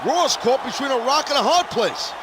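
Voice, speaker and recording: A cocky, partisan wrestling commentator speaks in a very high voice. It is game commentary over a crowd and clear noise. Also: male voice, animated, normal speed. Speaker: color commentator.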